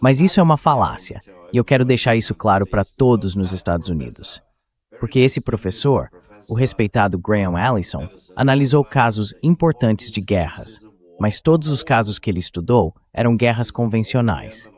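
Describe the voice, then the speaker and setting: Brazilian guy dubbing an interview guest, lowish voice, political commentary, kinda lecturing and emphatic. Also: expressive, moderate pace, a little mellow. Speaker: interview guest, dubbed into Portuguese.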